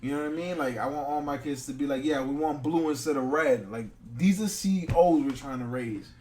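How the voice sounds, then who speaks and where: A man's voice: on the high side, brisk, a little mellow, slight background noise. A man in his thirties co-hosting a casual podcast, speaking bluntly and emphatically and swearing freely. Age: 30s. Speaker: podcast co-host. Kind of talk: casual chat.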